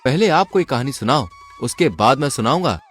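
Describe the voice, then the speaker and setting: A man's voice: medium pitch, animated, brisk, faint music behind, natural voice. A man voicing a clever young traveler in a Hindi folk tale, polite and confident. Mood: friendly.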